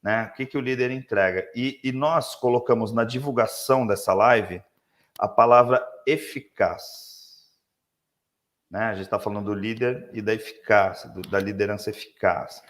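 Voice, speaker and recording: A man with a Brazilian Portuguese accent, hoarse, talking reflectively about leadership on a live webinar with many tag questions. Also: fairly low pitch, steady tone, normal speed. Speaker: leadership speaker.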